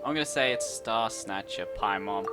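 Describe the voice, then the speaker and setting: A woman's voice: rumbling low, normal speed, over music. A woman doing jokey, teasing let's-play commentary, reading out dialogue choices and reacting to a game. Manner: teasing, jokey, prone to giggling.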